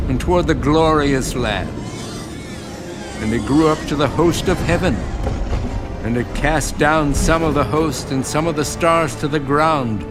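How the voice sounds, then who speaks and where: Casting: male actor as biblical prophet narrator, slow, mid-pitched, solemn storyteller, music under the scripture drama. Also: close to an everyday voice. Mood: grave, emphatic.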